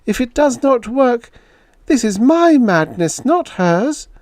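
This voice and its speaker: A man voicing a lonely, frightened woman who pleads and cries out in a high, anxious voice. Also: big pitch swings, takes it slowly, darkish tone, no room sound, clean studio sound, exaggerated voice. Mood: tearful. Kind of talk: audiobook character voice.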